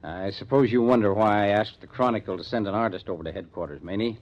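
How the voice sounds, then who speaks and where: An actor playing a matter-of-fact police detective captain in an old-time radio crime drama, plainspoken and emphatic, on a muffled vintage recording. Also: male voice, on the low side, fast, darkish tone, close to an everyday voice. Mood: brisk, needling.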